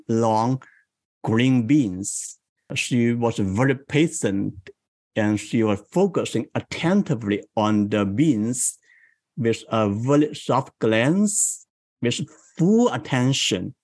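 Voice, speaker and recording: A man in his forties from South China with a Chinese accent, a guest in an interview who tells stories in a slow, reflective way. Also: mid-range voice, a little mellow, no room sound. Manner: slow, thoughtful, reflective storytelling. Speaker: guest, improv coach. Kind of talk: interview conversation.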